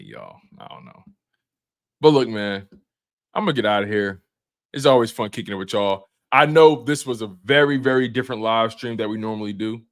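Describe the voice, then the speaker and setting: A man in his twenties hosting a sports show live stream, chatting casually with viewers in a relaxed, slangy way, often repeating himself and restarting sentences. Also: lowish voice. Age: 20s.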